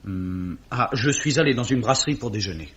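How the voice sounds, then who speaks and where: A man playing a meek, polite young gentleman, hesitant and deferential, in an old radio drama. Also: medium pitch, animated, moderate pace, husky. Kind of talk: 1950s French radio drama acting.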